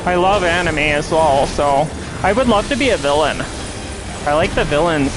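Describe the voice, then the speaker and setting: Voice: mid-range voice, moderate pace, background noise. A game streamer with a nasal voice, chatting casually while playing. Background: music.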